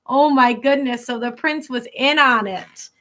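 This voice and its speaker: Female schoolteacher for picture-book read-aloud; bright tone, unhurried delivery, teacherly explanatory asides. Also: high-pitched. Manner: teacherly, stops to translate and explain words simply. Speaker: elementary school teacher reading aloud to pupils.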